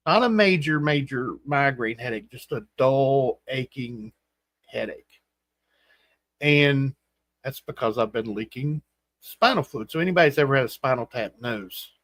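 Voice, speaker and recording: A man in his forties hosting a casual livestream chat, rambling and halting with fillers and restarts. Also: mid-range voice, animated, a bit bright, no room sound. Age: 40s. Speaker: host.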